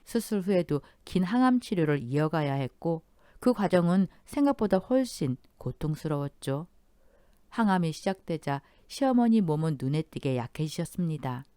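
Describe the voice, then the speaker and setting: Lower-pitched woman narrating a drama. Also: very animated, dark tone, smooth, dry recording, studio quality. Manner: measured, storytelling. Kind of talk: scripted story narration.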